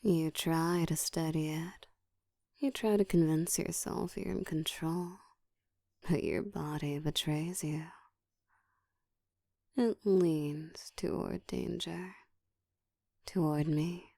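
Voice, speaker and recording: A woman voicing a seductive, dominant ancient vampire in an intimate ASMR roleplay, teasing and commanding, often slipping into a soft whisper. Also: steady tone, slow pace, long pauses, no room sound, clean studio sound, exaggerated voice. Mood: seductive, taunting. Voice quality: soft, breathy whispering mixed with low murmur.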